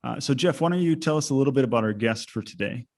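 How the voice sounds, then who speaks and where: A man's voice: medium pitch, quick pace, vocal fry, darkish tone. A man with an American accent, casually hosting an interview podcast. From United States. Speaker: podcast host.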